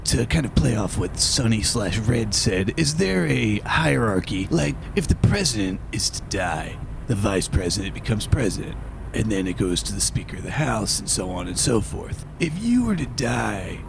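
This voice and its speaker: A man playing a member of a star's entourage in an improvised comedy scene, talking in an earnest, deadpan, literal way. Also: on the low side, normal speed, creaky, few pauses, background noise.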